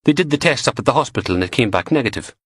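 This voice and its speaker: A man's voice: medium pitch, expressive, rapid-fire, a bit bright, husky, no echo, clean studio sound, pitched above the performer's own voice. A man voicing a cheeky, teasing young goth woman who works in forensics. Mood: blunt.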